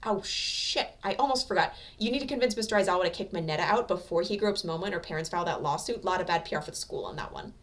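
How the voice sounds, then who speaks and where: A woman voicing a brash, impatient young male anime hero in a fan fiction reading, with a gruff, bossy, profane way of talking. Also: fairly low pitch, quick pace, few pauses, lightly acted. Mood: exasperated, blunt.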